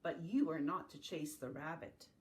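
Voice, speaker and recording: A woman voicing a mild, firm storybook man who gives measured, gentle cautions. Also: low-pitched, a little hiss or hum, voice pushed down, natural voice. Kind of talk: picture-book character voice.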